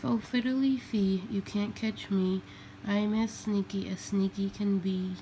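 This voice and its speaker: A sly little storybook leprechaun read by a woman boasts in playful, sing-song rhyme. The delivery is slow and teasing, with faint noise on the home recording. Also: mid-range voice, fairly even intonation, dark tone, lightly acted. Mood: gleeful, taunting. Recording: clearly noisy home recording.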